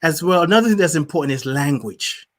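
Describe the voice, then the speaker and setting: A man's voice: a little high, lively intonation, fast. A man from Nigeria, hosting a podcast and asking long, thoughtful, searching questions. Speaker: podcast host. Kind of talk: reflective conversation.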